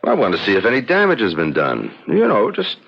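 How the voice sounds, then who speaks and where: A man playing a stubborn, preoccupied husband in a 1970s radio drama, terse and gruffly dismissive. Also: on the low side, huge pitch swings, a little fast, exaggerated voice. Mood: evasive, determined. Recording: dull old radio drama recording.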